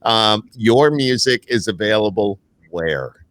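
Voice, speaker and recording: Low-voiced man with a Syracuse accent. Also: animated, takes it slowly, a bit bright. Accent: Syracuse, upstate New York. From Northeastern United States. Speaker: podcast host. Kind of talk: casual interview chat.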